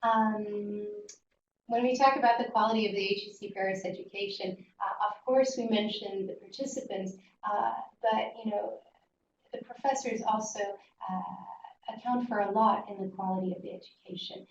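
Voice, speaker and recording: A woman giving an informal slide presentation as a webinar host, speaking in a hesitant, halting way. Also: medium pitch, fairly even intonation, gravelly. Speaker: webinar presenter for a business school. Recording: muffled, roomy, distant mic, faint noise.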